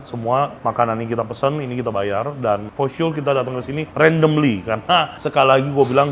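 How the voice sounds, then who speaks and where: Chatty Indonesian man over background noise. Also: medium pitch. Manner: chatty, repeats confirmations, addresses viewers as friends. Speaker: food vlogger host. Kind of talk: casual food review chat. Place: restaurant. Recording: clear background noise, restaurant ambience.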